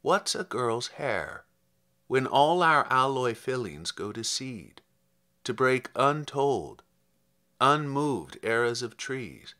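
A man gives a literary poetry reading in a bright, forceful voice. His phrasing is measured and slow, with dramatic emphasis. The pitch moves in an animated way as he delivers his verse. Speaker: poet reading own poems.

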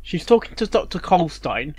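A man hosting a reaction video in casual, rambling commentary, haltingly repeating and restarting his phrases. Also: fairly high pitch, big pitch swings, slight background noise. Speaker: reaction channel host.

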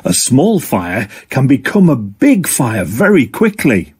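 Storybook firefighter dog played by a man: earnest, encouraging, emphatic, animated pitch. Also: takes it slowly, heavily acted. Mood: stern, instructive. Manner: clear, instructive, emphatic. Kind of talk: children's storybook character reading.

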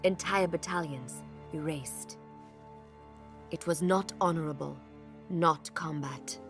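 Solemn female villager seeking refuge in a fantasy game; lowish, measured and formal. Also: fairly bright tone, faint music behind, exaggerated voice. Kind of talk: dramatic video game cutscene acting.

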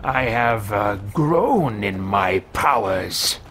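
A man's voice: lowish voice, expressive, very slow, a bit bright, slight background noise, pitched below the performer's own voice, full character voice. A man in his thirties voicing a pompous, scheming mage who mutters grandly to himself, improvising fantasy video game role-play. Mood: menacing, gloating. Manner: theatrical, grandiose, muttering with hesitations. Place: small indoor room with shelves.

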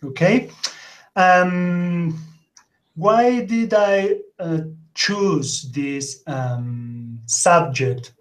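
A man's voice: high-pitched, drawn-out words. A man in his forties from Argentina, giving a conference talk over a video call, hesitant and full of fillers. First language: Spanish. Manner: hesitant, many fillers, animated. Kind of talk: remote conference presentation. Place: indoor home room. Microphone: webcam on a video call.

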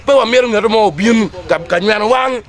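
A man's voice: high-pitched, fairly quick. A middle-aged man with a Ugandan accent, making a public statement as a community group member. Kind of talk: spoken public statement to camera. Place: outdoors under trees among a gathering.

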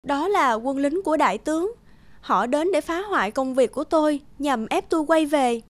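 High-voiced young cartoon princess. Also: female voice, expressive, normal speed. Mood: worried. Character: young princess, anxious, indignant, determined. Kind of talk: cartoon fairy-tale acting.

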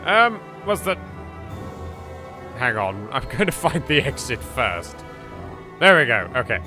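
A man's voice: medium pitch, very animated, a little slow, pushed and bright, faint music behind. A man with an English accent giving jokey, rambling, improvised let's play commentary. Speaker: gaming host.

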